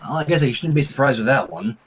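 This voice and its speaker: A man's voice: medium pitch, lively intonation, fast talker, slightly rough. A man reading a fan fiction story theatrically, breaking in with casual asides. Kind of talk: animated story narration with asides.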